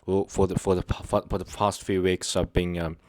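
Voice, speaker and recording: A man hosting his own channel, talking to viewers unscripted in a halting, hesitant way, full of fillers and restarts, earnest and sincere. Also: sits low, level tone, background noise. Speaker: channel host. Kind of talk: unscripted personal talk to viewers.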